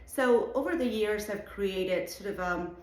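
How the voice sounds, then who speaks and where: Venezuelan-accented woman speaking in an echoey studio. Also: medium pitch. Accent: Venezuelan Spanish. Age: middle-aged. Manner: warm, unhurried, with fillers and restarts. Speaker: artist and art educator, show juror. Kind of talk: recorded address to students. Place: art studio indoors, seated. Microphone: camera in front, medium framing. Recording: echoey room.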